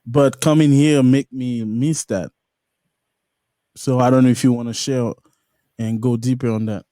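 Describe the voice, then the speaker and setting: A man from Haiti with a Haitian accent, hosting a podcast interview in an earnest, halting way with frequent restarts. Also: mid-range voice, fairly even intonation, dark tone, no room sound, clean studio sound. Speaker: podcast host. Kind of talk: casual interview.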